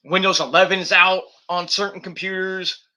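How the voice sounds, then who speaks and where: A man's voice: sits high, level tone, moderate pace, pushed and bright. A man in his forties rambling casually at home, with many fillers, as he corrects himself mid-sentence. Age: 40s. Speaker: YouTuber. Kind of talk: rambling casual vlog chat. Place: home, at a desktop computer.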